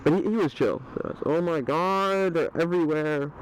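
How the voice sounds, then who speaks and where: A man's voice: on the high side, normal speed, low-quality recording. A man casually rambling in slangy, sweary ride commentary as he vlogs from a scooter outdoors. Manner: slangy, heavy swearing, says "bro" often. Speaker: vlogger riding an electric scooter. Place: outdoors on a street, riding a scooter. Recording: outdoor street recording with clear vehicle noise.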